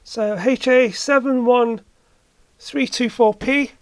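A hobbyist repairer talks through hands-on work in a casual way. The pace is very slow, as the speaker thinks aloud between steps. The sound is dry, with little room around the voice. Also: mid-range voice, steady tone, clear, resonant tone, no echo. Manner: thinking aloud while working. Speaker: hobbyist repairer, video presenter. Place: indoor workbench.

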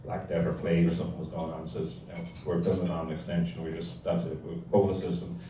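An older man with an Irish accent gives an informal, humorous slide lecture. His voice is dark and relaxed, wandering drily through his anecdotes. It is captured at some distance in a strongly reverberant room with faint noise. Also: low-pitched, fast talker. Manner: rambling, wry storytelling. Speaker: club talk speaker. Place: indoor hall with projection screen. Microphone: handheld or stand microphone, recorded from audience camera. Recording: echoey room, distant mic, noisy background.

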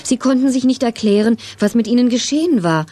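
A woman's voice: a little high, expressive, a little fast, dark tone. A woman reading scripted narration for a children's audio drama as its storyteller.

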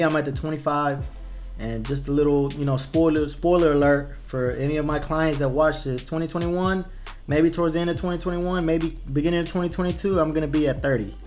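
A man's voice: on the high side, a little mellow. A young man from Texas, a barber, casually talking viewers through pricing and income math on a screen share, often repeating words as he thinks. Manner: conversational, repeats words while thinking, addresses viewers as "you guys". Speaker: barber teaching business. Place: small room indoors. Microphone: desk microphone at a computer, webcam in corner.

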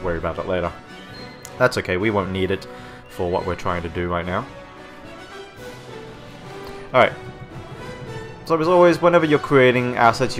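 A man with a stuffy hay-fever voice, talking through a game-art tutorial while he works, rambling and correcting himself often. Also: sits low. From Australia. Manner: rambling, self-correcting, frequent "okay?" and "um". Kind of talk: screen-recorded tutorial narration. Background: soft background music.

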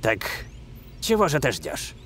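An actor voicing a cocky, menacing young athlete villain, smug, drawling and taunting, in a cartoon dub. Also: male voice, medium pitch, wildly swooping pitch, a little slow, fairly bright tone, soft background music. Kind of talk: animated cartoon dubbing.

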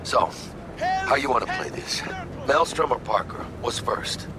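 Lively, brash street sidekick. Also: male voice, medium pitch, unhurried, background noise. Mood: casual, eager. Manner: slangy, peppers speech with Spanish. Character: brash, warm-hearted male street mercenary sidekick. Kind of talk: video game dialogue acting.

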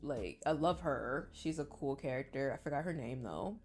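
A woman's voice: rumbling low, lively intonation, moderate pace, darkish tone, faint music behind. A young woman chatting casually to her viewers, sounding congested from the flu. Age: young adult. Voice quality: congested, stuffy-nosed. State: sick with flu. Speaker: reaction channel host. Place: small bedroom, indoors.

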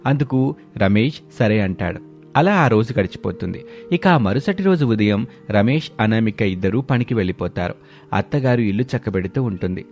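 A man's voice: on the low side, lively intonation, normal speed, a little mellow, faint music behind. A man narrating a scripted story as the storyteller.

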